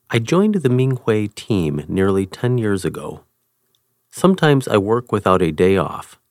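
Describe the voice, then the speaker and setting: Guy with a lowish voice reading an article out, steady and even, dry close sound. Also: dry recording. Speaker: reader. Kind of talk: scripted reading of a first-person article.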